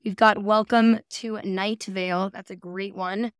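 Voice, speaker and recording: Female host, mid pitch, clean resonant tone, relaxed conversational hosting style. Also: a little slow, clear, resonant tone, dry recording, clean studio sound. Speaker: podcast host. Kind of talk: casual chat, guiding discussion.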